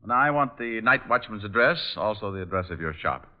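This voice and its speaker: An actor playing a breezy, flippant private detective in a vintage radio drama. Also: male voice, lowish voice, lively intonation, fairly quick, close to an everyday voice. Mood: dry, businesslike. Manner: wry, flippant. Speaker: lead actor, also narrator of the story. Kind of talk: 1940s-50s detective radio drama acting. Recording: dull, lo-fi old radio recording.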